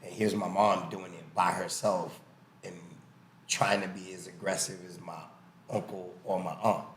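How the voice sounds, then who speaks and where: Very deep-voiced man, echoey room. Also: little pitch movement, moderate pace. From born in the US, early childhood in Haiti, then raised in New York. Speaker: boxing coach, podcast guest. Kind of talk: casual personal storytelling and explaining. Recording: echoey room with faint background noise.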